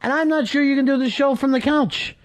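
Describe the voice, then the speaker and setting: A man's voice: very high voice, fairly quick, rough recording. An American man hosting a talk radio show, teasing his crew in loose, rambling banter. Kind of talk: casual radio chat.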